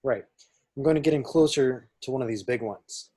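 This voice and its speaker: A man playing a cocky, roguish space pilot in a script read-through, wry and brisk. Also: medium pitch, mellow, breathy edge, close to an everyday voice. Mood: wry, urgent. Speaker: amateur actor in a table read.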